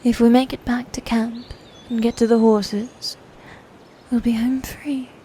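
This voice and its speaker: Woman acting a haughty lady knight, medieval ASMR roleplay, mellow and fairly high, archaic commanding speech, breathy, contemptuous, faint background noise. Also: flat delivery, a little slow, close to an everyday voice. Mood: irritated, bossy. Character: proud, scornful female knight, possessive and bitter. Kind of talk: dominant ASMR fantasy roleplay.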